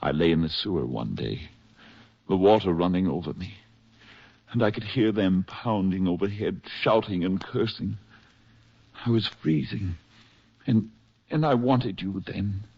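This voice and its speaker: Deep, husky hunted spy, 1940s radio. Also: male voice, no room sound, full character voice. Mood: weary, yearning. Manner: halting, earnest, dramatic delivery. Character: hunted English spy, exhausted, tender, in love. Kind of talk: 1940s radio drama acting. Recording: muffled, husky-sounding 1940s radio recording.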